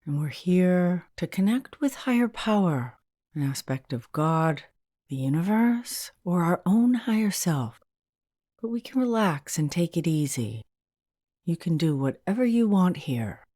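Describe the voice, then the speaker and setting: A woman leading a guided meditation as a hypnotherapist, in a soothing, gentle and unhurried manner. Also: fairly low pitch, very animated, dry recording, studio quality.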